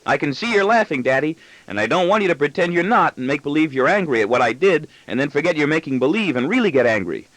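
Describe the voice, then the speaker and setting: A man's voice: on the high side, expressive, fast, a bit bright, dry recording, voice pushed up, low-quality recording. A man voicing a bossy, argumentative small boy with childlike insistence in an audiobook story. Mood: indignant. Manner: indignant, insistent, childlike pestering.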